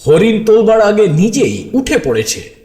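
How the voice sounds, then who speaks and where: A man's voice: sits high, unhurried. A man narrates a story dramatically in the first person, speaking expressively and straight to his listeners. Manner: expressive, addresses listeners directly. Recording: roomy echo with music underneath.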